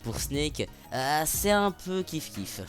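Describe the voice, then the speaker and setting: A man's voice: a little high, sing-song, slow. A man delivering a scripted video review of a stage musical, opinionated and sarcastic, with sudden heated outbursts. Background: faint background music.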